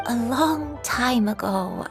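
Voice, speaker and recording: An actress playing a frail, gentle elderly grandmother in a video game, speaking haltingly and wistfully with a creaky voice. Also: a little high, expressive, music in the background. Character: frail, gentle, self-doubting elderly grandmother with failing memory.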